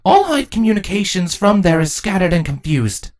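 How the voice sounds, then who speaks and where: A woman's voice: lowish voice, big pitch swings, moderate pace, a little mellow, pitched above the performer's own voice. A woman voicing a commanding changeling queen in a fantasy story, stern, grave and authoritative.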